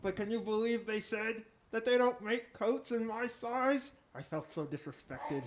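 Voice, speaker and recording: Comic sidekick, played by a man: high, whiny, aggrieved and halting, with faint background noise.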